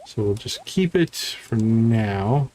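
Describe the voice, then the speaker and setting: A man giving casual live video game commentary, chatting and thinking out loud. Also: medium pitch, lively intonation, slow pace, a little hiss or hum. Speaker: gaming streamer.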